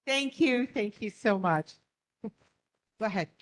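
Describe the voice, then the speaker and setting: A woman chairing a community board meeting, brisk, friendly and procedural. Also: mid-range voice, lively intonation.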